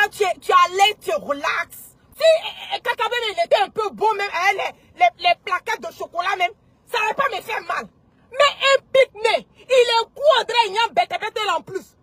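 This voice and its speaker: Ivorian female commentator, piercingly high and sharp, indignant and repetitive, for an impassioned political rant. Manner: indignant, emphatic, repetitive, mocking. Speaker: online commentator.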